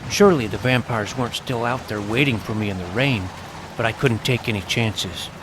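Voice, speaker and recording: A man's voice: fairly low pitch, a little fast. A man giving scripted first-person storytelling narration as the narrator of a tale. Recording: clear background noise, sound effects.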